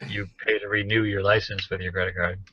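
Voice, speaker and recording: A man's voice: on the low side, little pitch movement, fast talker, sharp tone, breathy edge. A man chatting casually and wryly as a podcast co-host, telling anecdotes. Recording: dull, lo-fi captured call audio.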